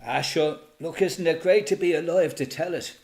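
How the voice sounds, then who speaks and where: A man with an Irish accent playing an elderly former freedom fighter reminiscing in a radio play, plain-spoken and quietly proud. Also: fairly high pitch, normal speed, natural voice. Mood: genial. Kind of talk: radio play monologue, reminiscing as recorded testimony.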